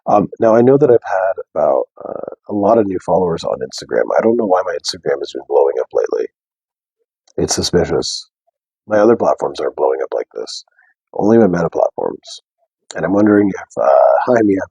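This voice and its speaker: A young man with a deep bass voice, talking softly and casually to viewers on a live stream. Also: big pitch swings, brisk, creaky, breathy edge, no echo, poor audio. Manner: soft, unprojected speech. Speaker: livestream host. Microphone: wearing a mic.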